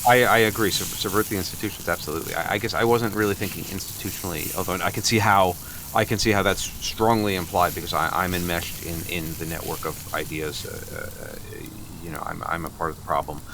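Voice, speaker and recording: A man acts out a nervous, over-apologetic suitor with a deep voice. He stumbles and repeats himself, eager to agree, in an improvised comedy radio play. There is noticeable background noise. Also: brisk, vocal fry. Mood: anxious backpedaling. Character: flustered, over-apologetic boyfriend asking for a blessing.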